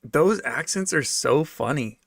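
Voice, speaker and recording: A man's voice: on the high side, animated, unhurried, creaky, fairly bright tone, dry recording, studio quality. An American man talking casually off the cuff as he answers viewers' comments, rambling a little and repeating his phrases. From United States, raised moving around in a military family.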